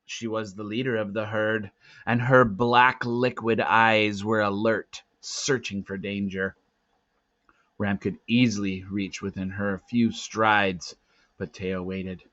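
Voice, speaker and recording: A man reading a storybook aloud as narrator, with dramatic, suspenseful swells and hushed drops. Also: lowish voice, flat delivery, slow. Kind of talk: expressive storybook read-aloud. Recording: dull lo-fi, roomy echo.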